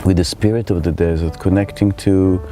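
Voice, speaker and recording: A middle-aged man from Israel with an Israeli accent, telling his life story in a reflective, halting way as he searches for words. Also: low voice, fairly even intonation, dark tone. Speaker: spiritual teacher. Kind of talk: personal life story told to camera.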